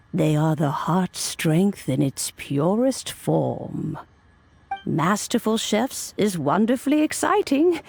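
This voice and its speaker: A woman's voice: on the low side, creaky, a little mellow, breathy edge, full character voice. An actress playing a serene, wise immortal adeptus in a video game, telling old legends in a formal, reverent way with music behind her. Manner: measured, formal, solemn storytelling delivery. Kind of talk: dramatic video game cutscene narration. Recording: background music under voice.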